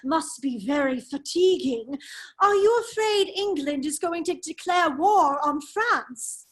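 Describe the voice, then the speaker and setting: A very high-pitched, foppish English nobleman acted by a woman in a radio play. The delivery is slow and affected, full of dithering exclamations and idle teasing. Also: animated. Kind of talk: radio play table read.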